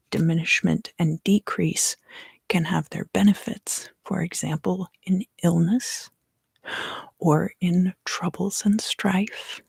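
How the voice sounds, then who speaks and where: A woman reads tarot in an ASMR style, slipping between soft speech and whisper. Her voice is lowish and breathy with a gravelly fry. She speaks in measured phrases with deliberate pauses. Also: no room sound. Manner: hushed, drifting in and out of whisper. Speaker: tarot reader and ASMR host.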